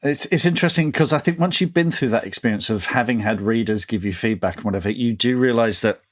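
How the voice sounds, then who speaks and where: A man's voice: mid-range voice, fast. A man hosting a casual book interview, speaking with enthusiasm and a self-deprecating streak. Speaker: podcast host.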